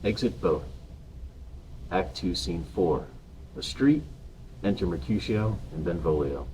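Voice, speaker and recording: A man's voice: sits low, animated, a little slow, noisy background. A man reading stage directions as a scripted narrator.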